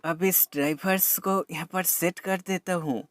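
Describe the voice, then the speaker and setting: A man's voice: sits high, fairly even intonation, a little slow, a bit bright, faint music behind. A man giving a scripted product demo voice-over as a reviewer, explaining plainly step by step and mixing in English terms.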